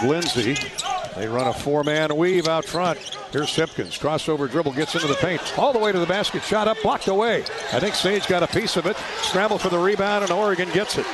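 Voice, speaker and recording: A man doing live radio basketball play-by-play in clipped running phrases that rise to shouts on big plays. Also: on the high side. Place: courtside in a basketball arena. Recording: noisy arena ambience, bouncing balls. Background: loud court noise, bouncing basketball.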